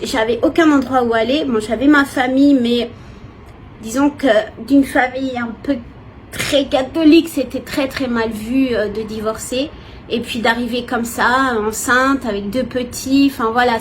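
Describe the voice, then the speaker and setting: A woman from France, giving a personal, expressive and hesitant testimony to viewers in casual talk. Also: high-pitched, poor audio. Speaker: vlogger. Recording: clear background noise, ambient sound.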